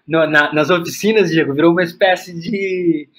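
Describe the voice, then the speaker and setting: A man's voice: fairly high pitch, steady tone, normal speed, fairly bright tone. A man with a Brazilian accent talking reflectively as an interview guest, repeating words as he thinks aloud. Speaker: guest playwright.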